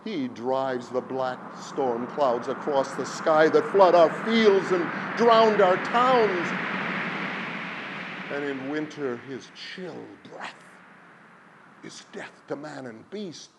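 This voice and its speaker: A man voicing a crowd of frightened townsfolk who wail and plead in a high voice. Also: lively intonation, takes it slowly, background noise, heavily acted. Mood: fearful.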